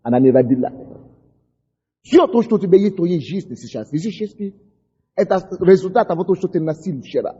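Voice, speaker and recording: High, impassioned pastor in an echoing hall. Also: male voice, expressive, a little fast, long pauses, breathy edge. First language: English. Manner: impassioned, shouting prayers, stumbling over words. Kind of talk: fiery sermon. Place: church hall. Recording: dull recording in a large echoing hall.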